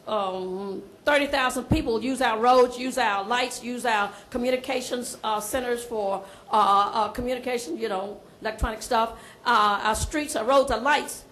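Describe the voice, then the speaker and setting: A woman's voice: on the high side, fairly bright tone. A woman speaking as a resident at a public hearing, forthright and emphatic, often repeating her phrases. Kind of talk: public testimony. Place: indoor public meeting room. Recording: roomy hearing room, faint background noise.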